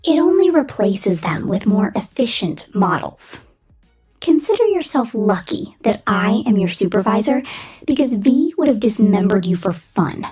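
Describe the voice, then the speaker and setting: A woman's voice: medium pitch, a little mellow. A woman voicing a cruel, domineering female robot supervisor in an ASMR roleplay, condescending and menacing, often dropping into whispers. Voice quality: breathy. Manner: condescending, menacing, slow theatrical delivery with frequent whispers.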